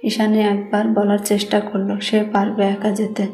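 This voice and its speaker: A woman with a Bangladeshi accent narrates a romantic story in a level, even tone. Her pitch sits in the middle and moves little. Soft music plays behind her voice. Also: normal speed.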